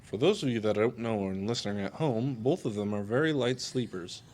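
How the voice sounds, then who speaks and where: A man with a fairly low voice co-hosting a podcast. He rambles through his sentences, restarts often and cracks jokes. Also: expressive, a little fast, darkish tone. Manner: joking, rambling. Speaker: podcast co-host. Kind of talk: casual chat.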